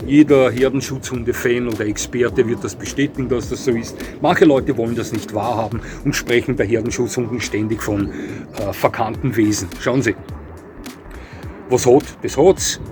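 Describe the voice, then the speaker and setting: A man's voice: medium pitch, fairly even intonation, moderate pace, music in the background. A man giving spoken commentary, frank and matter-of-fact, with many fillers and self-corrections. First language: not Portuguese. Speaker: dog breed commentator. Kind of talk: spoken commentary on a breed ranking.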